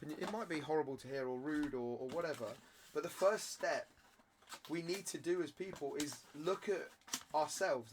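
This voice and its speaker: Croaky man, slightly high voice. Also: a little hiss or hum. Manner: earnest, rambling with restarts. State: croaky with a cough. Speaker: card-selling live streamer. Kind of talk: candid motivational talk to camera.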